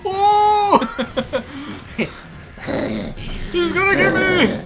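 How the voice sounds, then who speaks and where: A man's voice: very high-pitched, big pitch swings, drawn-out words, gravelly, heavy background noise. A man playing a video game and commenting casually as he goes, breaking into short exclamations. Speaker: gaming show co-host and player. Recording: game music behind.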